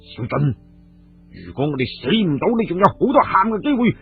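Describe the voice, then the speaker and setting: A man's voice: on the high side, sing-song, fairly quick, creaky, breathy edge, heavily acted. A man voicing a stern, decisive warrior and elder brother in a Cantonese storybook tale, commanding and urgent. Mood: firm orders. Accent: Hong Kong Cantonese. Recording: tinny radio-like sound with music behind.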